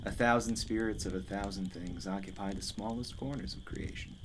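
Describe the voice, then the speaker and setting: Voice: deep voice, fairly quick. An actor playing a learned, proud, mystical court magician in a radio play, formal and cryptic. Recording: background noise over the voice.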